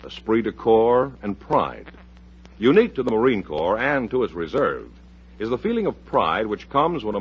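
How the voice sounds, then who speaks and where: A husky-voiced American man announces a 1950s radio variety show. His pitch moves in lively swings through the scripted patter. The recording is dull and old.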